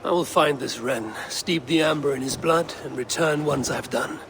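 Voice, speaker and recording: An actor playing a grim, steady Viking warrior in a video game, speaking in a solemn, measured way. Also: male voice, mid-range voice, close to an everyday voice. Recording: loud background noise.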